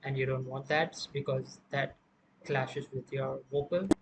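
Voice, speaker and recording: A man presenting an informal music production software tutorial, explaining step by step in a halting way. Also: medium pitch, steady tone, poor audio.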